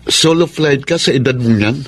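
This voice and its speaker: A man playing a gentle, generous father in a radio drama, coaxing and kind. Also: mid-range voice, moderate pace, natural voice. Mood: kindly. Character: kindly wealthy father, warm and generous.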